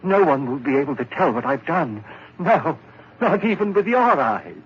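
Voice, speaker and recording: An actor playing a nervous, guilt-ridden young murderer in a 1940s radio drama, stammering and quick to panic. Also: male voice, on the high side, very animated, breathy edge, heavily acted. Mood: brooding. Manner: jittery, stammering, rising to panic. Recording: dull old radio recording with hiss.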